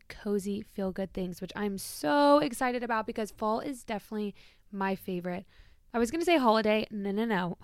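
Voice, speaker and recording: A woman's voice: a little high, clean tone, dry recording, studio quality. Chatty young woman podcast host. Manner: bubbly, chatty, with light laughs. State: slightly lowered, shaky voice after an event. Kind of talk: casual podcast monologue.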